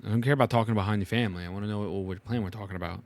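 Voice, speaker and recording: A man's voice: fairly low pitch, fairly even intonation, very fast, slight background noise. A man doing casual, slangy game reaction commentary as a streamer, often repeating phrases in disbelief. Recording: game music behind.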